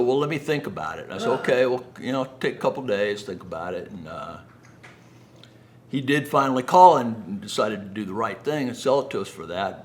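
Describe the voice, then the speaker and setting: A man's voice: medium pitch, slight background noise. A middle-aged man telling business anecdotes in a conversational, folksy way, often acting out quoted dialogue. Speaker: speaker, home builder. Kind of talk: anecdotal business storytelling talk.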